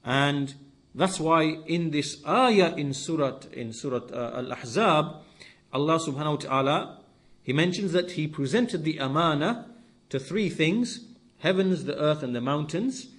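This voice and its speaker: A man with a somewhat high voice delivers a religious lesson. He lays out his explanation in short, deliberate phrases. Key words come back again, repeated for emphasis, as a teacher would do. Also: animated, fairly bright tone. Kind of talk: lesson-style sermon explaining scripture.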